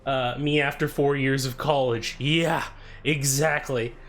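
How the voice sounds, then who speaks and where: A young man chatting casually on a live stream, greeting viewers by name and poking fun at himself, with a soft, soothing voice. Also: medium pitch, steady tone, unhurried. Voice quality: soft, told he sounds soothing. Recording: faint music behind.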